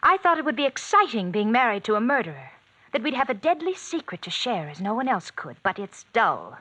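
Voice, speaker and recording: An actress playing a bored, thrill-seeking wife who taunts coolly and teasingly in an old-time radio mystery. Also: female voice, on the high side, sing-song, brisk, bright, forceful tone, no room sound, exaggerated voice, rough recording. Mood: scornful, goading.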